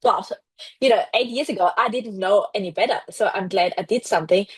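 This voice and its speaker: Woman, from Austria, English speaker, breathy tone, blunt and animated, dry sound. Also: medium pitch, fairly quick, dry recording. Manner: frank, animated, laughs often. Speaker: podcast guest, brand strategist. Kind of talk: casual interview chat.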